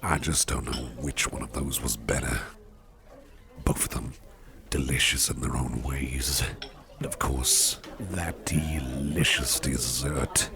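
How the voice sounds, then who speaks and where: Very deep, gravelly gentleman boss, acted by a man. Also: steady tone, a crowd in the background, full character voice. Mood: warm, indulgent. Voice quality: breathy, often near-whispered, gravelly. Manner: gentle, unhurried, reassuring, gentlemanly. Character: attentive, courteous businessman boss on a dinner date. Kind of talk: soft ASMR roleplay.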